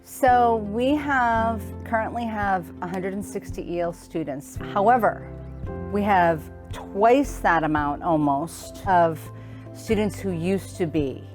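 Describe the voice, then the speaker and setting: A woman who teaches English learners, speaking in a measured, matter-of-fact way in an interview. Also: medium pitch, a little mellow. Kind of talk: documentary interview. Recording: music bed under voice.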